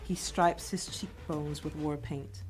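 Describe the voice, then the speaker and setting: A woman narrates an audio drama, reading out scene directions. Her voice is low for a woman, with a scripted narrator's delivery. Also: fairly even intonation, takes it slowly, darkish tone, soft background music.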